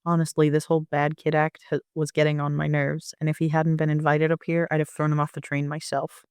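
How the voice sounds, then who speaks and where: A man narrating a first-person story like an amateur audiobook reader, with an even storytelling delivery. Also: fairly high pitch, brisk, clean tone, no echo, studio quality.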